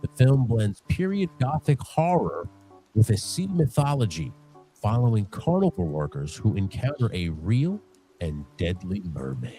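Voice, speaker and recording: Male host, low voice, very dark tone, loose and upbeat, faint music underneath. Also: big pitch swings, unhurried, poor audio. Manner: loose and upbeat, swears casually. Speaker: podcast host. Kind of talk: casual chat.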